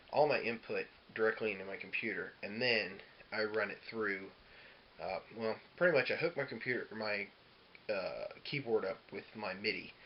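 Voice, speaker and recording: Guy w a lowish voice doing a laid-out-loose music how-to, kinda hesitant, muffled lofi audio. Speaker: musician giving a tutorial. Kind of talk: casual instructional demo talk. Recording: dull lo-fi audio with clear hiss.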